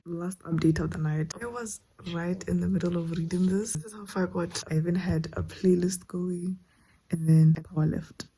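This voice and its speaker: Female book vlogger, low and soft-edged mellow tone, candid slangy chat, informal home-vlog delivery. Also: steady tone, moderate pace, low-quality recording. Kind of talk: casual reading vlog chat to camera.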